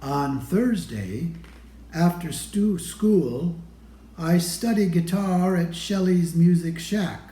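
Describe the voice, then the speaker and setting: Guy reading a kids book out loud, takes his time, gentle mellow tone, roomy echo. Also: on the high side, slow pace, slight background noise. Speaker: storybook reader. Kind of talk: expressive children's picture book read-aloud.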